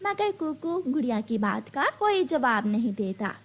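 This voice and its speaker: A woman's voice: sits high, big pitch swings, a little slow. A woman reading scripted narration for a children's animal cartoon story.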